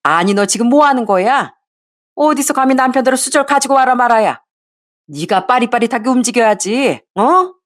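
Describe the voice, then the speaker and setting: A woman voicing a bossy older mother-in-law who nags and yells at her daughter-in-law. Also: a little high, lively intonation, normal speed, pitched above the performer's own voice, close to an everyday voice. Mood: scolding. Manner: sharp, nagging, raises voice to yell.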